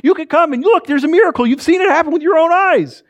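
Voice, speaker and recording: A man voicing an excitable, eager male believer who pleads and repeats himself in a comic told story. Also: high-pitched, brisk, pitched above the performer's own voice, exaggerated voice. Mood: eager, pleading.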